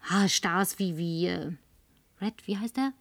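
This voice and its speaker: A woman's voice: on the low side, dry recording. Coquettish, tipsy city woman, dry sound. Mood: eager, coaxing. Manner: coquettish, breathy murmurs, languid drawn-out phrasing. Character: flirtatious, tipsy, insistent city career woman named Linda. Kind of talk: German radio play acting.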